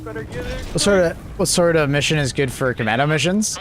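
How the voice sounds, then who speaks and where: A man's voice: on the high side, lively intonation, normal speed. A young man hosting a gaming livestream, chatting casually and rambling with lots of restarts. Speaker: livestream host. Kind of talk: casual chat while gaming.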